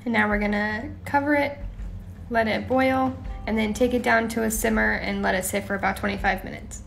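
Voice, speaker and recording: A young woman hosting her own home cooking show, talking through each step in a relaxed, chatty way with small asides. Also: medium pitch, fairly quick, gravelly, soft background music. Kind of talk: casual cooking voice-over. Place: home kitchen.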